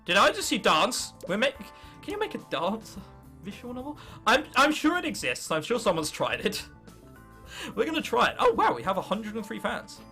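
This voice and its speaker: High-pitched, animated young English man. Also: normal speed, soft background music. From England. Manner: irreverent, sarcastic joking and muttering to the game. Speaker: gaming video host. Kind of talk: casual gameplay commentary.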